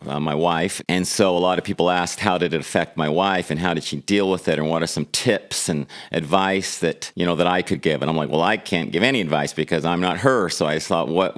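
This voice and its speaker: A man in his fifties hosting a candid sit-down talk, earnest and emotional, often repeating words as he searches for them. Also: deep voice. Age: 50s. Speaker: vlog host.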